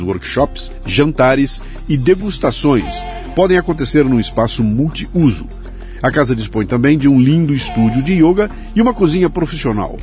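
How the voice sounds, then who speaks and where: A man's voice: mid-range voice, darkish tone. A Brazilian man hosting a podcast, moving between scripted sponsor reads and informal, colloquial conversation. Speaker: podcast host.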